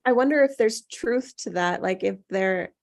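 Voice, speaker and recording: Creaky-voiced woman musing aloud. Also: mid-range voice, normal speed. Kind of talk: casual group discussion.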